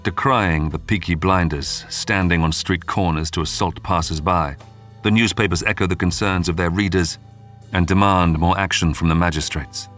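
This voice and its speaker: A man with a very low voice delivers scripted true-crime history narration. His storytelling is measured and dramatic, with faint music running underneath. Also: fairly even intonation, fairly bright tone. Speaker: documentary narrator.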